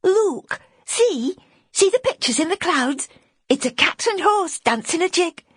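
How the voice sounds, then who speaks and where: A woman's voice: very high-pitched, sing-song, measured pace, no room sound, clean studio sound, voice pushed up, exaggerated voice. A woman voicing a tiny, hungry little boy in an audiobook, tearful and pleading in childish broken speech. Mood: eager, hopeful. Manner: whimpering, childish broken grammar. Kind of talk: audiobook character acting.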